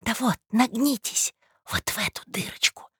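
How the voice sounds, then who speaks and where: A woman's voice: fairly high pitch, sing-song, moderate pace, slightly rough, no echo, studio quality, voice pushed up. A woman playing a nosy, spiteful female eavesdropper in a story, brusque, needling and conspiratorial.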